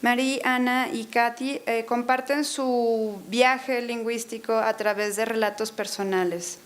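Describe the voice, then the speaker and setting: A woman interpreting a lecture consecutively in a university auditorium, hesitating often, filling pauses and correcting herself as she goes. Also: on the high side, fairly even intonation. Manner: hesitant, many fillers and self-corrections.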